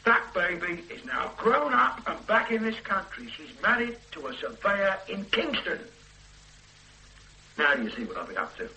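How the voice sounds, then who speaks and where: An actor playing an eager, cheeky detective sergeant in a radio drama. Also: male voice, high-pitched, moderate pace, slight background noise, heavily acted, low-quality recording. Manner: cheeky, lively, persuasive. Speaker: police sergeant. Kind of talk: 1970s radio drama acting.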